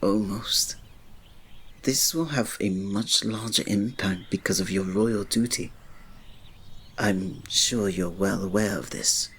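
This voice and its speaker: A man playing a reserved, devoted royal servant in an ASMR romance roleplay, speaking softly, politely and formally with a breathy voice. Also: fairly low pitch, takes it slowly, full character voice. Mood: tender, hesitant. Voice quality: soft, breathy. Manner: soft, polite, formal, restrained, often dropping to a breathy murmur.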